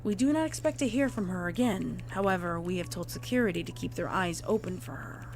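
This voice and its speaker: A woman's voice: on the low side, fairly quick, soft background music. A woman reading a scripted horror story as a narrator, in the form of a report. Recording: clear background noise.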